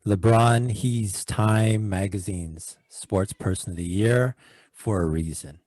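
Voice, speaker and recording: A man co-hosts a podcast in a relaxed, playful way and teases the others easily. His voice sits on the low side. It sounds dry, close and clean. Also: slow, no room sound. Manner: laid-back, playful teasing. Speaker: podcast co-host. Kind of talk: casual podcast chat.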